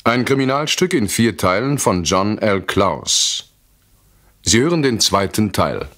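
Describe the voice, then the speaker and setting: A male announcer with a lowish voice reads formal radio drama credits. His delivery is very slow, and the sound is close and dry. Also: very animated, fairly bright tone, no echo.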